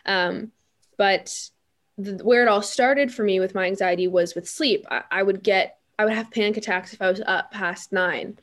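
A teenage girl talks as a guest in an interview, her voice sitting at an ordinary middle pitch. She tells her stories in a chatty, animated way, stumbling over restarts and fillers as she goes. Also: normal speed. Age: teens. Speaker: guest musician. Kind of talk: interview storytelling.

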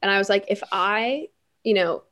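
A teenage girl, a young musician, telling stories in an interview in a chatty, animated way with many fillers and restarts. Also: mid-range voice, moderate pace. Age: teens. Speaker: guest musician. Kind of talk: interview storytelling.

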